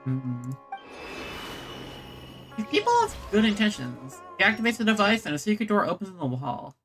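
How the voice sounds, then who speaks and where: A woman's voice: medium pitch, sing-song, moderate pace. A woman streaming a video game, giving casual commentary and reading in-game text aloud. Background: game music.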